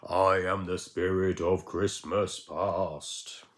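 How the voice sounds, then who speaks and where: A man voicing a solemn, portentous storybook spirit who gives drawn-out warnings. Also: deep voice, little pitch movement, a bit bright.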